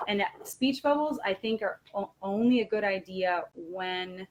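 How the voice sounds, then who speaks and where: A woman giving an informal instructional webinar talk as a teacher trainer, halting and correcting herself as she explains. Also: medium pitch, a little hiss or hum, rough recording.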